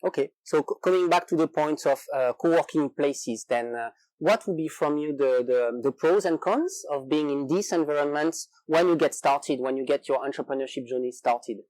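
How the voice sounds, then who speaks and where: Man, French accent, host, mellow tone, dry sound, hesitant with fillers. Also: fairly high pitch, normal speed, no echo, low-quality recording. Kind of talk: casual interview. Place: coworking space.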